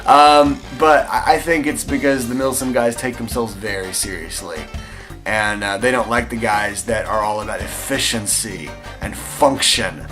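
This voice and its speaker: A man's voice: on the low side, moderate pace, music in the background. A young man with a Texan accent hosting a casual vlog, answering viewer questions in an enthusiastic, chatty way with frequent fillers. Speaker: airsoft channel host answering viewer questions.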